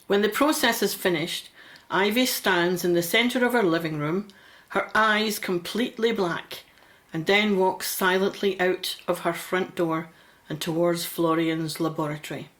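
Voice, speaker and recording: Slow-paced woman narrating radio drama. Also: on the low side, fairly bright tone.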